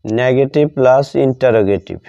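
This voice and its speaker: A man with a Bengali accent teaching English grammar, dictating as he writes and repeating each phrase deliberately, in a dull lo-fi recording. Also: mid-range voice, warm tone. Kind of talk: grammar lesson, dictating while writing.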